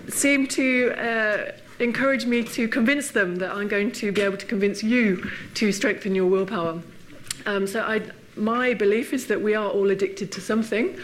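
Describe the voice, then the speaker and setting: An English woman giving a friendly public lecture, laughing often and filling pauses with ums and uhs. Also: mid-range voice, a little hiss or hum. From England. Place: lecture hall.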